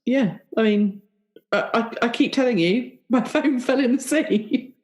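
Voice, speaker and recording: A woman's voice: a little high, unhurried, close to an everyday voice. A woman playing an evasive secret agent in an improvised roleplay, casual and self-justifying. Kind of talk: improvised roleplay audio drama.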